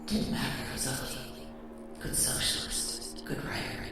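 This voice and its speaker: A woman's voice: extremely low pitch, lively intonation, normal speed. A woman playing a defiant, bitter young socialist writer in an audio drama monologue, half-whispering short, insistent phrases. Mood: bitter pride. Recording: very echoey, loud music underneath.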